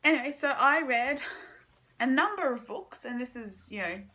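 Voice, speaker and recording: A young woman giving a casual, halting book review to camera, informally trailing off and circling back. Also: high voice, animated, pushed and bright. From Australia.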